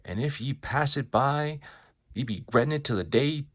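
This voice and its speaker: A man voicing a bombastic carnival barker in a comic book reading, hawking with loud, drawn-out, repetitive showman's patter. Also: mid-range voice, lively intonation, a little hiss or hum, pitched above the performer's own voice, natural voice.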